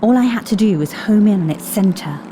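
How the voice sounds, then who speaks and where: A woman playing a calm, intuitive time agent in an audio drama, speaking with composure. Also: mid-range voice, brisk, noisy background, lightly acted. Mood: focused.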